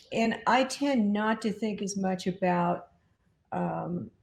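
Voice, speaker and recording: A woman's voice: fairly low pitch, darkish tone. A woman giving casual, informal interview answers as a guest, with a self-deprecating way of talking. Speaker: podcast and comics producer, guest. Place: indoors at home. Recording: echoey room sound.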